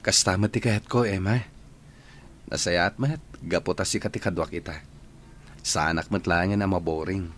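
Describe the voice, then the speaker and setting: Man playing a deep-voiced, lovelorn young trainee. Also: moderate pace, pauses often, fairly bright tone, a little hiss or hum. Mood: tender. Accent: Ilocano. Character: lovelorn, earnest young male trainee, devoted sweetheart. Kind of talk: radio drama acting.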